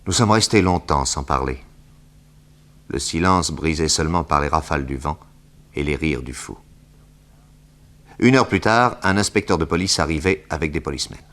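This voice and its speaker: An actor playing an assured, courteous private detective in an articulate, theatrical 1960s French radio serial style. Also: male voice, very deep voice, lively intonation, normal speed, pauses often, fairly bright tone. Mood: grave narrating. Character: famous male private detective, assured, indignant under accusation.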